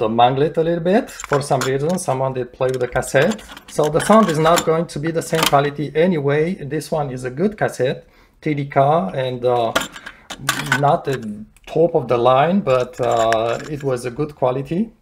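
A man giving a casual, unscripted how-to demonstration in a halting, hesitant way, searching for words as he goes. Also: medium pitch, a little hiss or hum. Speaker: hobbyist demonstrator. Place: indoors at home beside hi-fi equipment.

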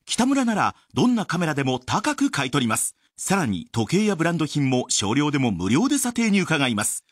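A man delivering a scripted radio sales pitch as an advert announcer. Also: huge pitch swings, brisk, no room sound, studio quality.

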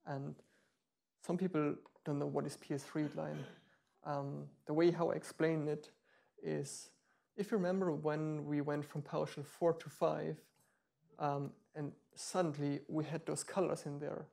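Man, 30s, meetup presenter; dark gravelly voice, explains with ums and restarts. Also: a little high, level tone, measured pace. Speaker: meetup speaker. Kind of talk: live tech demo talk. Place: meetup room.

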